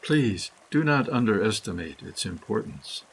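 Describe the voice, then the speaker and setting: A man reading a scripted, poetic guided meditation in measured, deliberate phrases with pauses. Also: on the low side, expressive, vocal fry, slight background noise. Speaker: guided meditation reader, author.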